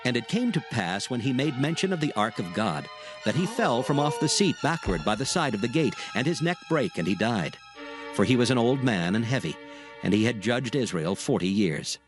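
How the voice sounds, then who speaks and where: A man reads scripture as a narrator, solemn and measured. His voice sits at a middling pitch, with music playing clearly behind it. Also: big pitch swings. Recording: background music under the narration.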